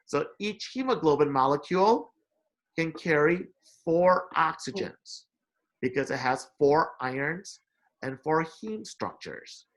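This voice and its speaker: A man's voice: a little high, animated, slow pace, a bit bright, poor audio. A man explains material in an informal lecture, often checking in with "right?" and repeating key phrases. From Indonesia, moved to America at eight. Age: 40s. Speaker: lecturer, science instructor.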